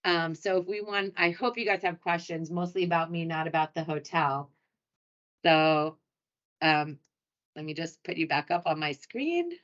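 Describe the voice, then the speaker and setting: A woman's voice: sits low, normal speed, a bit bright. A woman from New York City with a New York accent, presenting a hotel webinar in a chatty, upbeat, self-deprecating way. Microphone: computer mic on a video call. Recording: muffled, lo-fi video call audio.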